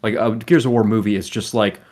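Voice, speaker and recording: A man on a podcast panel giving his opinions in a dry, casual, measured manner. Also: on the low side, steady tone, darkish tone.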